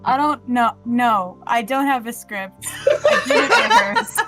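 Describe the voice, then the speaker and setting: A woman's voice: fairly high pitch, sing-song, takes it slowly, loud music behind the voice. A woman in a casual group Q&A, giggly and playfully argumentative. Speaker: cast member.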